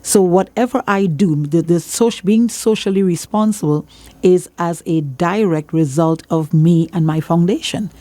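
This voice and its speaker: A woman's voice: fairly low pitch, a little slow, warm tone, no echo. A woman with a Trinidadian accent speaking as a church leader in an interview, emphatic and repeating phrases for emphasis like a preacher giving testimony.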